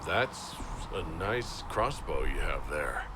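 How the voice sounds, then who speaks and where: An actor playing a guarded prisoner turned reluctant hero, plain-spoken and earnest, in a video game. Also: male voice, very deep voice, very animated, slow, very noisy, heavily acted. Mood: curious. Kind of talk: video game cutscene acting.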